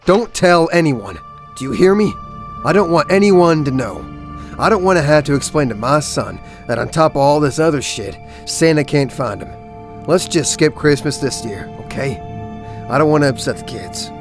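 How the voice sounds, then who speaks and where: A man's voice: on the high side, expressive, normal speed, music in the background. A man playing a weary, protective former cop who leads survivors and looks after his family, in a dramatic comic dub. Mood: stern.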